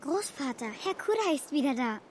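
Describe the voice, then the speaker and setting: An actress playing an earnest, tender young schoolgirl in a children's radio drama, with a soft way of speaking. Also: sits high, big pitch swings, moderate pace, soft background music. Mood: tender, excited.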